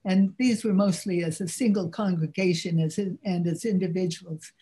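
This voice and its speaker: Low-voiced older woman giving a talk. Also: steady tone, moderate pace, dark tone. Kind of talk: delivered religious talk.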